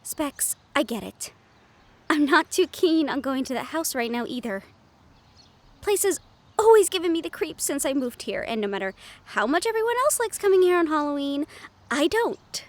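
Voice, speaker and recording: A woman's voice: high-pitched, animated, normal speed. An actress playing an anxious, sweary young woman haunted by a ghost, exasperated and conversational in an audio roleplay. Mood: exasperated, pleading.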